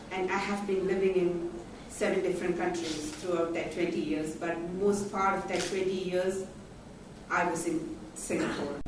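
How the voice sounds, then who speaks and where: A woman's voice: lowish voice, noisy background. Middle-aged woman, earnest panel talk, roomy distant hall sound. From India. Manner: earnest storytelling with pauses. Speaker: panel speaker. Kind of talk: conference talk. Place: large conference hall. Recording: very echoey hall from far off.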